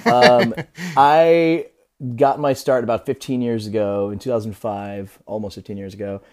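A man's voice: mid-range voice, steady tone, moderate pace. A man, a guest chatting casually and with easy, self-deprecating amusement. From New Jersey, USA. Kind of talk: casual chat over a call.

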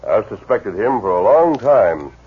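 A man playing a calm, commanding masked western lawman in a 1950s radio drama, firm and measured, with a husky voice on a tinny old radio recording. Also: on the low side, full character voice. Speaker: actor playing the Lone Ranger.

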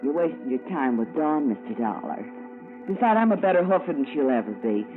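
A woman's voice: low voice, sing-song, a little fast. An American actress playing a pushy, slangy young chorus girl in a 1950s radio drama. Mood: pushy, envious. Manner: brassy, slangy. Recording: tinny 1950s radio, music behind.

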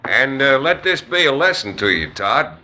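An actor playing a steady young frontier hero in a 1950s radio western, calm, commanding and wry. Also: male voice, mid-range voice, moderate pace, background noise, close to an everyday voice. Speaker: lead actor.

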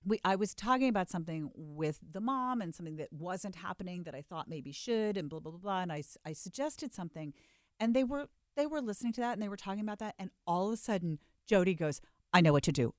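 A woman's voice: lowish voice, no echo, studio quality. A woman, a stage actress guesting on a talk show, chatting casually with playful slang and emphatic repetition. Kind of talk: casual chat.

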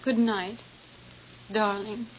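A woman's voice: mid-range voice, level tone, takes it slowly, lightly acted. A woman playing a nervous, evasive wife in 1940s radio melodrama, earnest and hesitant. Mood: soft, coaxing. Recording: muffled, hissy 1940s radio recording.

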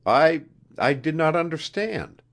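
A man's voice: medium pitch, big pitch swings, unhurried, pitched above the performer's own voice. A man voicing an earnest, blunt male adventurer in a storybook reading, direct and questioning. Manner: direct, questioning, sometimes harsh.

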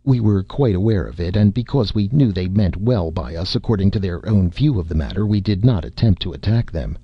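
A man's voice: low-pitched, lively intonation, brisk, slightly rough, heavily acted. Man voicing polite little alien creatures. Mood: calm. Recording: slightly muffled dry recording.

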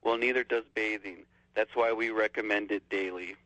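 A man calling in to a radio show, calmly reading out a few quotes. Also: fairly low pitch, normal speed, no room sound. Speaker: caller. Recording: dull phone-in line.

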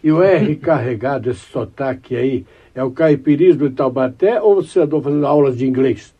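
A man hosting a podcast, introducing his guest and asking interview questions in a measured, formal way. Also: mid-range voice, breathy edge, dull audio.

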